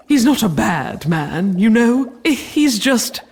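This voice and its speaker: A woman playing a brisk, exasperated medieval queen in a comic audio drama, stern and articulate. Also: a little high, sing-song, a little mellow, breathy edge, heavily acted. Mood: weary exasperation.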